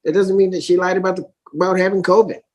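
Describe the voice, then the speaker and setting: A man with a husky voice speaking as a judge in a courtroom, reasoning aloud in halting, repeated phrases. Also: sits high, little pitch movement. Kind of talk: courtroom ruling, spontaneous questioning.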